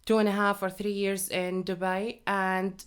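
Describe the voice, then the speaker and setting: A woman's voice: mid-range voice, level tone, unhurried, clean tone. Young adult woman from Saudi Arabia, open and chatty podcast guest, studio mic. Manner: open, chatty, with frequent fillers and laughs. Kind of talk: casual interview chat. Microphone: studio microphone.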